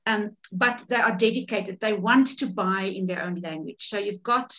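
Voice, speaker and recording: A woman's voice: medium pitch, moderate pace, bright, forceful tone, lo-fi sound. South African woman, chatty author. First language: Afrikaans. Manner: chatty, many restarts and repeated words. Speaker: author leading a writing workshop. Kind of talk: casual workshop talk on a video call. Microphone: video call webcam.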